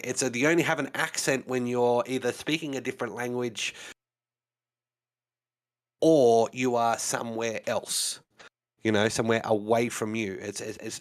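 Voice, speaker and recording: A man with an Australian accent, co-hosting a casual podcast chat and explaining his points with lots of "you know"s. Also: medium pitch. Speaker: podcast co-host.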